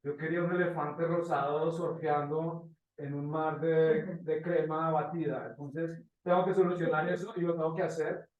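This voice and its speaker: Guy teaching a class, kinda high voice, super echoey room, mic far away. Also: fairly even intonation, moderate pace, darkish tone, lo-fi sound. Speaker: motion graphics teacher. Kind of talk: informal lecture to a class. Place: indoor classroom. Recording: echoey classroom, distant microphone.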